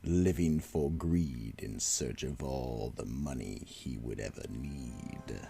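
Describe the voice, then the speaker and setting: A man narrating a horror radio drama and hosting the show, slow and ominous. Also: a bass voice, vocal fry, over music. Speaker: narrator and host.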